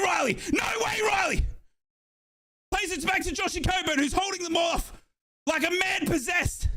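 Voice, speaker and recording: Shouting, high-voiced excitable male fan. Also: creaky. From Australia. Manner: excitable, yelling, cheering. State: hoarse. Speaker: football watchalong streamer.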